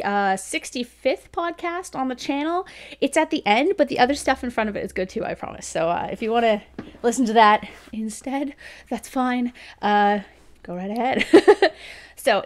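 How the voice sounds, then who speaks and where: A woman in her thirties giving a chatty, casual book review from a room at home, laughing often between thoughts. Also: on the high side. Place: home room with bookshelves, indoors. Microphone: studio mic beside her on desk.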